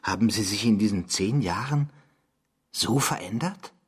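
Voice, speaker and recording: Casting: sceptical interrogating listener, radio drama, lowish husky voice, incredulous and accusatory. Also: male voice, lively intonation, measured pace, full character voice. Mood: probing, dry. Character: sceptical, disapproving male listener questioning a confessor.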